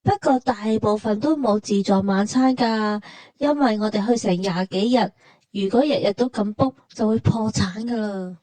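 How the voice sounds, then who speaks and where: A woman's voice: medium pitch, normal speed, mellow, no room sound. A woman with a Hong Kong Cantonese accent narrating a travel vlog in casual, colloquial, matter-of-fact banter.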